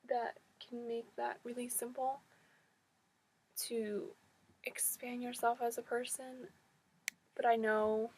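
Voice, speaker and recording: A woman's voice: fairly high pitch, darkish tone, a little hiss or hum. A woman losing her voice, talking in a casual, reflective monologue, hesitant and often restarting her thoughts. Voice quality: husky, voice cracking in and out. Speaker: vlogger.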